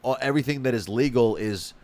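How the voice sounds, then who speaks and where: Rambling, swearing podcast host, dry sound. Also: male voice, medium pitch, fast talker, darkish tone, no echo. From Spain. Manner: rambling, profane, many restarts. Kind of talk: casual podcast chat.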